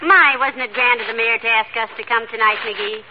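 An American woman playing a sociable, cheery wife who gently chides her husband in a 1940s radio comedy. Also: on the high side, fairly even intonation, a little fast, close to an everyday voice. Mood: pleased. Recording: muffled, noisy old radio recording.

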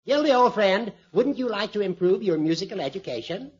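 An actor playing a genial, teasing old judge in a radio comedy, with a wry and rather flowery way of talking. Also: male voice, sits high, lively intonation, fairly quick, darkish tone, slightly rough. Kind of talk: 1940s-50s radio comedy acting. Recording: muffled, lo-fi old radio recording.

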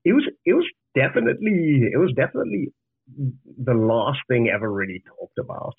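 A man's voice: mid-range voice, huge pitch swings, a little fast, creaky, husky, no room sound. A man from Zimbabwe with a Zimbabwean accent, a founder answering interview questions earnestly, often restarting his words. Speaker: guest, startup founder. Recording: dry, tinny phone-like line.

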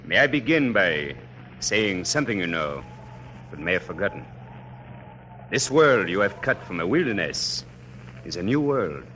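Deep-voiced, ardent young officer. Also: male voice, very animated, normal speed, long pauses, bright, forceful tone, heavily acted. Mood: earnest. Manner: impassioned, oratorical. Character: ardent, idealistic young French nobleman officer, deferential. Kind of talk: classic radio drama acting. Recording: noisy old radio recording.

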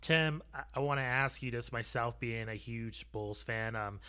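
Bright-voiced male podcast interviewer. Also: mid-range voice, moderate pace, clear, resonant tone. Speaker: sports podcast host, interviewer. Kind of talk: casual interview questions.

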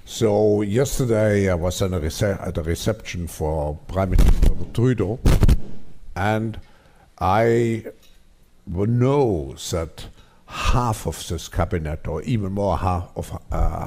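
A man speaking in a clip, halting and searching for words. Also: deep voice, darkish tone. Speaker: forum speaker. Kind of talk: interview clip.

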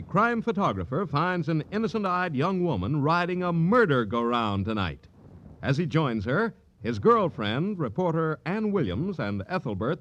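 A man's voice: on the high side, a bit bright. A man announcing in a polished, dramatic vintage radio style, reading scripted program promos. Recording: old radio broadcast, faint music behind.

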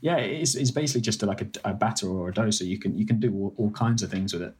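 Brief: young english guy, lowish voice, chatty and halting, former baker talking shop over a video call. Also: a little mellow. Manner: hesitant, lots of ums and restarts, laughs easily. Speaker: food editor and former baker, guest. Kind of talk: casual conversational explanation.

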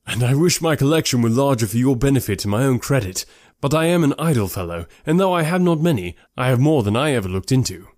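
A man voicing an amiable, cheerful young gentleman in an audiobook, friendly, open and earnest. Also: mid-range voice, animated, quick pace, hardly any pauses, dry recording, studio quality, lightly acted. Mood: cheerful, kind. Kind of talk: classic novel audiobook character voice.

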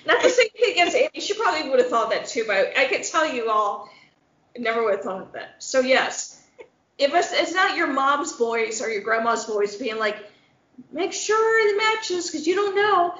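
A woman with a Midwestern accent co-hosts a casual podcast, laughing often and acting out voices. Her voice sits high and jumps all over. The sound is roomy and dull. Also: normal speed. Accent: Midwestern American. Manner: animated, laughing, loud put-on quoted voices, swearing. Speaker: podcast co-host. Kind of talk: casual chat. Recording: echoey lo-fi podcast audio.

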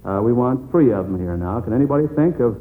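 Male American quiz host, forties radio style, mid-pitched and encouraging, heard through a thin, phone-like old broadcast. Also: animated, fast talker, a little hiss or hum. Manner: encouraging, chatty. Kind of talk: 1940s radio quiz hosting.

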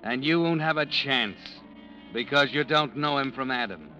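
An actor playing an ominous narrator who addresses the hero directly as a grave, accusing inner voice in an old radio drama. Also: male voice, medium pitch, lively intonation, normal speed, pushed and bright. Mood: grim, goading. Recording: dull old radio recording. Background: faint music.

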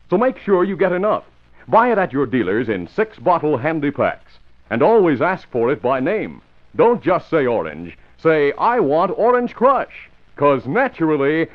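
Animated old-time radio announcer, man. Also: fairly high pitch. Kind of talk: scripted 1950s radio announcing. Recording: muffled vintage radio recording.

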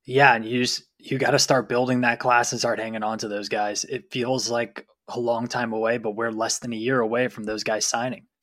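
A man's voice: mid-range voice, little pitch movement, fairly quick, few pauses, fairly bright tone, no echo. Dry-recorded male sports podcast co-host. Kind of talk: casual sports analysis.

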